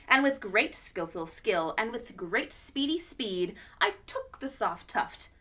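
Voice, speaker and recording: A woman reads a children's picture book aloud, expressive and animated, gasping with wonder at times. Her fairly high voice rises and falls widely. She takes each line slowly. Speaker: children's storytime host.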